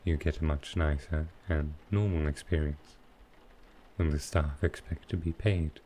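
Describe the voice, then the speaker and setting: A man playing a smooth, flirtatious supervillain in an intimate ASMR roleplay, speaking softly and unhurriedly in short, halting phrases. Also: rumbling low, steady tone, slight background noise. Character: smooth, flirtatious male supervillain courting his tailor. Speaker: voice actor in audio roleplay.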